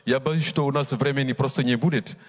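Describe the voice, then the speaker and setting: A man's voice: mid-range voice, steady tone, fairly quick, long reverb tail. A man chairing a conference who thanks speakers and calls the next one, talking informally with hesitant filler sounds. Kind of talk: moderating a conference.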